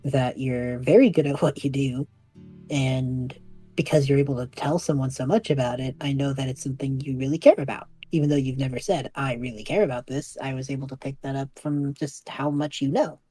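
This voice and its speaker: A woman playing an attentive, curious young student in an audio drama, husky-voiced, hesitant and agreeable. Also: a bass voice, steady tone, brisk, warm tone, faint music behind. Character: attentive, curious young visitor with a school project. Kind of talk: audio drama dialogue, naturalistic.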